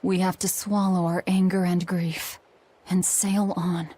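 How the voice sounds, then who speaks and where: A woman's voice: on the low side, level tone, measured pace, mellow, husky. A woman playing a grim, determined young antihero in a fantasy video game, speaking bluntly. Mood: solemn resolve. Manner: blunt, terse.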